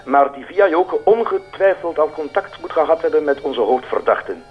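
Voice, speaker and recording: Animated, highish-voiced Flemish policeman. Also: normal speed, breathy edge, dull audio, soft background music. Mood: pleased, informative. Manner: eager, slightly hesitant. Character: eager police colleague reporting news by telephone. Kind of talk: naturalistic radio drama acting.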